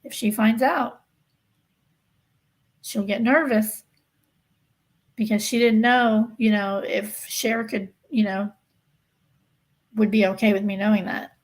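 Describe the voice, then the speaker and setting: A woman's voice: mid-range voice, fairly even intonation, takes it slowly, pauses often. A woman hosting a casual livestream, talking emphatically and insistently to her viewers and repeating herself to drive points home. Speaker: livestream host of a true-crime gossip channel.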